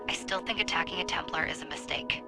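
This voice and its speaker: An actress playing a businesslike, matter-of-fact assassin handler in a video game. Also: female voice, low voice, quick pace, music in the background. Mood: brisk, uneasy. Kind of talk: video game cutscene acting.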